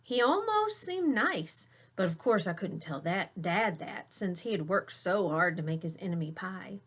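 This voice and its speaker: Animated woman reading a storybook aloud. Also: fairly low pitch, a little slow, husky. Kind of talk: expressive children's storybook read-aloud, with brief explanations.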